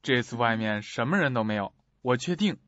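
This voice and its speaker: An actor playing a steady, reassuring male manager in a suspense radio drama, coaxing and probing. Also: mid-range voice, a little fast, no room sound, close to an everyday voice. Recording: dull, dry audio.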